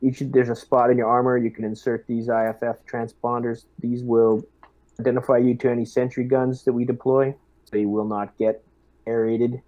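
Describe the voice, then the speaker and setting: Mellow man briefing his squad, dull audio. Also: mid-range voice, level tone, normal speed. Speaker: player acting as squad lieutenant. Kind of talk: in-character tabletop game talk.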